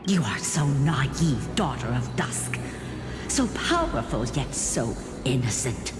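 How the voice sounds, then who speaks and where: Sorrowful reproachful tribeswoman, slow, very expressive pitch, solemn game drama, echoing hall. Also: full character voice. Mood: sad, fearful. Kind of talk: solemn video game voice acting. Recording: echoey hall reverb under music.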